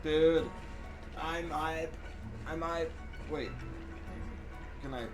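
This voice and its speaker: A man doing casual live gameplay commentary as a streamer, excitable and slangy, with some swearing. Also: a little high, extremely slow. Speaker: game streamer. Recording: stream voice over game music.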